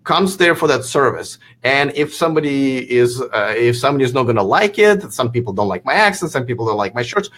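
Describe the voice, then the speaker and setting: A man's voice: medium pitch, lively intonation, a little fast, hardly any pauses, fairly bright tone. A man in his forties giving casual advice as a guest, blunt and matter-of-fact. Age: 40s. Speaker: guest video creator.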